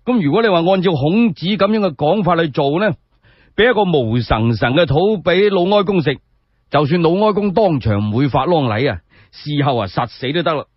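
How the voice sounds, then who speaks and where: Male Cantonese radio storyteller, higher-pitched and colloquial, very lively delivery, slightly muffled recording. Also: a little fast, dry recording. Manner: colloquial, dramatic. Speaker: solo storyteller. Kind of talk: animated oral storytelling of historical tales for radio.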